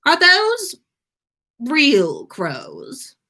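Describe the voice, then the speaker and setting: A woman's voice: sits high, wildly swooping pitch, drawn-out words, gravelly, bright, forceful tone, pitched above the performer's own voice, full character voice. A woman voicing a haughty, sardonic young wizard man who is easily startled, in a storybook reading. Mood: startled, incredulous.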